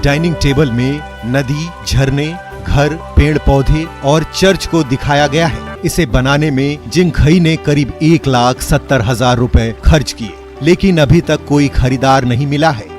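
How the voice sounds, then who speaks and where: A man's voice: medium pitch, unhurried. A man reading scripted news feature narration as a voice-over. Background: loud background music.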